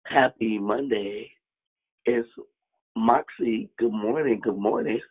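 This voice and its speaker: A man calls into a morning prayer line, his voice raspy and worn. He answers with short, fervent affirmations, and his husky voice comes through a thin, tinny phone connection. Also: on the low side, slow. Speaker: caller. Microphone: telephone.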